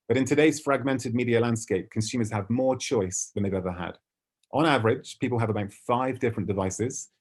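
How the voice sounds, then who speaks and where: Low-pitched British man presenting. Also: a little mellow. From United Kingdom. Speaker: conference speaker, ad tech company representative. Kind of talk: prepared business webinar presentation.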